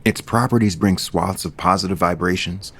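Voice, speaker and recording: Low-voiced tender, spiritual man. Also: fairly even intonation, normal speed, a bit bright. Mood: tender, earnest. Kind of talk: audio soap opera acting.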